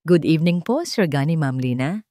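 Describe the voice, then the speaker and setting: A woman voicing a gentle, humble young nanny in an audio story, soft and respectful. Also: sits low, animated, normal speed, dry recording, clean studio sound. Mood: polite.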